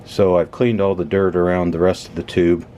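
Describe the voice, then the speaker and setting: A man's voice: low voice, little pitch movement, moderate pace, warm tone, a little hiss or hum. A man casually talking through a hands-on teardown as host, matter-of-fact and tentative as he thinks aloud. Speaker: host of an electronics teardown video. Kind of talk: casual unscripted hands-on explanation.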